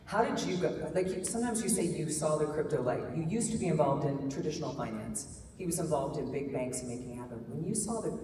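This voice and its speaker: A woman's voice: sits low, animated, quick pace, hardly any pauses, dark tone. A woman moderating a conference panel, upbeat as she introduces the speakers. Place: large conference hall stage. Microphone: handheld mic. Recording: echoey hall, distant mic, faint noise.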